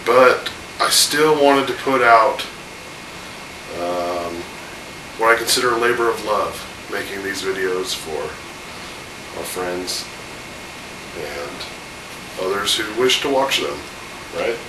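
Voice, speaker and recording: A man's voice: fairly low pitch, expressive, takes it slowly, pauses often, distant mic. Man, 30s, head cold, loose chatty host, echoey room, mic set back, AC hum. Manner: chatty, rambling with fillers. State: has a cold, voice a little off. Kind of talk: casual home video chat.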